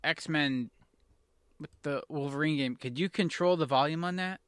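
A man's voice: on the high side, normal speed, fairly bright tone, dry recording. A man in easygoing casual chat, talking things through with lots of fillers. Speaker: podcast co-host.

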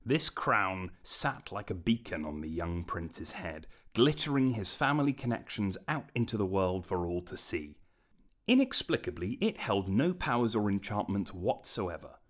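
Male fantasy narrator, fairly deep register, measured storyteller delivery with wide melodic movement. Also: very animated. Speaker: audiobook narrator.

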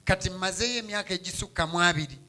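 A man's voice: sits high, very fast, sharp tone. A man interpreting a sermon phrase by phrase, echoing each line right after the preacher. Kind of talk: church interpretation.

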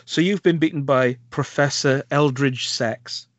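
A man's voice: medium pitch, a little slow, no echo. A man with a Welsh accent co-hosting a film podcast, chatting casually and hesitantly with lots of fillers. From Wales. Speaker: podcast co-host. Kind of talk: casual chat.

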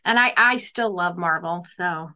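A woman's voice: on the low side, measured pace, bright, forceful tone. A woman in casual podcast chat, playful and teasing.

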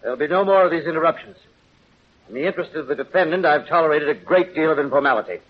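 An actor playing a formal, deliberate courtroom judge in a vintage radio drama. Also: male voice, fairly high pitch, animated, slightly rough. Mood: stern. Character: measured, patient, authoritative male courtroom judge. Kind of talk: 1930s-40s radio drama acting. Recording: muffled, noisy old radio recording.

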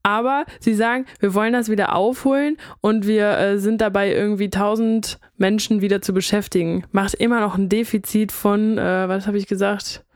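A woman's voice: medium pitch, steady tone, normal speed, hardly any pauses, no echo, studio quality. A woman co-hosting a podcast, explaining numbers casually with fillers. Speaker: podcast co-host. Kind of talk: podcast conversation.

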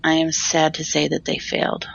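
Woman as creaky-voiced, solemn fortune-telling queen. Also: sits low, completely flat delivery, moderate pace, muffled recording, a little hiss or hum. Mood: solemn, grave. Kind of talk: formal, cryptic audio drama dialogue.

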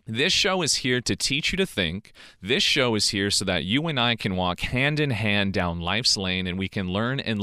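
A man's voice: mid-range voice, pushed and bright, no echo, clean studio sound. A young man hosting his own show, delivering an earnest, preachy monologue and checking in with the listener through rhetorical questions. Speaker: podcast host. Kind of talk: monologue on education and life.

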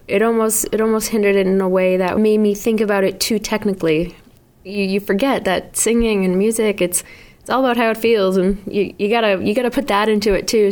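A young woman singer with a New England accent, chatting in a radio studio interview, rambling with frequent laughs and restarts. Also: medium pitch. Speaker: singer-songwriter guest.

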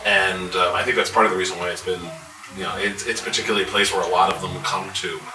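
A man speaking casually as a convention panelist, in a halting, informal way. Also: low-pitched, fairly even intonation, background noise. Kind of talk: casual panel talk with jokes. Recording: echoey room, distant mic.

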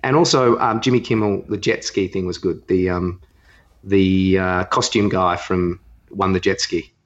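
Australian male co-host, fairly deep, loose rambling chat, somewhat lo-fi audio. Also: steady tone, measured pace. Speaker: podcast co-host. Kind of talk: casual chat and opinion. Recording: slightly dull lo-fi sound.

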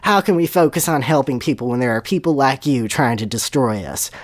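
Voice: medium pitch, expressive, fairly quick, lightly acted. A reader voicing an indignant, pompous old headmaster who preaches in a declamatory, accusing way, in a comedic fan fiction reading. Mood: zealous, solemn.

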